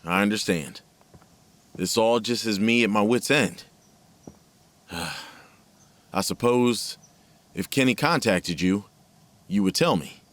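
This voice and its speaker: A man's voice: lowish voice, slow, pauses often, a bit bright. An actor playing a weary, worried, courteous father in an audio drama, speaking in a subdued way. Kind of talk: naturalistic audio drama acting.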